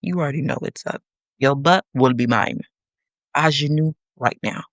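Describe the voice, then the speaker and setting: A young adult woman hosting a podcast, giving a sassy, animated monologue with teasing asides to her listeners. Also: a bass voice, normal speed, no room sound. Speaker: podcast host.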